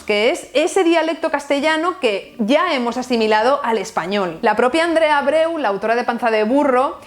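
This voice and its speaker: A young woman from Valencia with a Valencian Spanish accent, hosting a scripted literature video with wry, ironic asides. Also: on the high side. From Valencia, Spain. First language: bilingual Valencian and Spanish. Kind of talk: scripted explanatory video essay.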